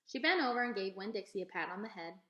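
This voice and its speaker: A woman reading a children's novel aloud as an expressive storyteller. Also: mid-range voice, quick pace, muffled recording. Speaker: read-aloud reader. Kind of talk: expressive children's storybook narration, told as a young girl. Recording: echoey, roomy sound.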